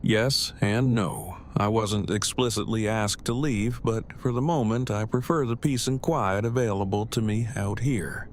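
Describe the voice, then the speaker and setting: A man playing an awkward, earnest, bookish survivor in an audio drama, speaking in formal, precise, wordy sentences with a deadpan delivery. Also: lowish voice, a little slow, hardly any pauses, a little hiss or hum, close to an everyday voice. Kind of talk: fan audio drama acting.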